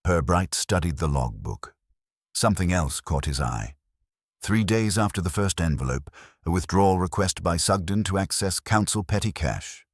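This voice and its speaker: A man's voice: low-pitched, animated, moderate pace, no echo, clean studio sound. A man reading scripted narration of a detective mystery story.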